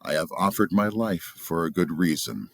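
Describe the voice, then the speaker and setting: A man's voice: sits low, expressive, moderate pace, no echo. A man voicing a brave, noble young prince, earnest and defiant, in a storybook reading. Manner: earnest, resolute.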